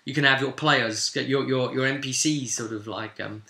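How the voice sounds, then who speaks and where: Bright, echoey man recapping a game. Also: medium pitch, fairly even intonation, normal speed, gravelly, a little hiss or hum. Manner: rambling, chuckling storyteller who acts out dialogue. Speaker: game master. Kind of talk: casual vlog recap of a tabletop role-playing session. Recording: echoey room sound.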